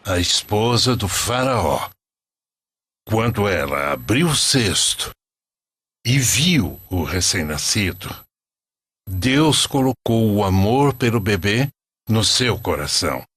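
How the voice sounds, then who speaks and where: A man playing a devout, patient old grandfather narrating a sacred animated story. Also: on the low side, husky, full character voice.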